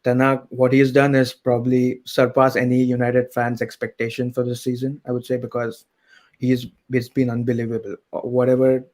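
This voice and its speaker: A man calling in to a talk show, talking in a measured way and thinking aloud. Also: mid-range voice, completely flat delivery, a little mellow. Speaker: caller. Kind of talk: casual call-in chat.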